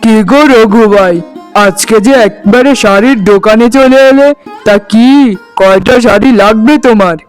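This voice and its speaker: A man's voice: high voice, moderate pace, clear, resonant tone, faint music behind, natural voice. A man playing a friendly, chatty village shopkeeper in a cartoon, coaxing a customer. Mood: friendly, inquisitive. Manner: chummy, coaxing. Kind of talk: cartoon dialogue.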